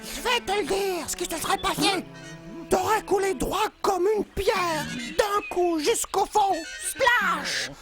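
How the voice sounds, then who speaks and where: Squeaky high cartoon beaver, chatty, blunt, super dramatic, music behind. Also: slow. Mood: teasing, blunt. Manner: exuberant, repetitive, exaggerated. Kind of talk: French children's cartoon voice acting.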